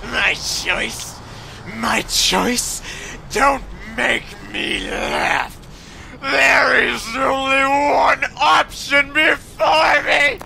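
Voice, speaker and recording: A woman voicing a crazed, zealous old male vampire swordsman who shouts defiant taunts in an audio story. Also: high voice, expressive, extremely slow, creaky, long pauses, background noise, pitched above the performer's own voice, heavily acted. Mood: mocking, frantic.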